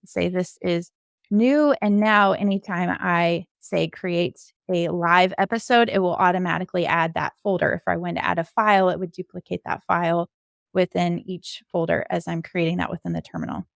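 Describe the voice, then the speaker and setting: A woman's voice: lowish voice, fairly even intonation, normal speed, gravelly, dry recording, studio quality. A woman giving an informal screen-share coding walkthrough, explaining things step by step as a podcast co-host.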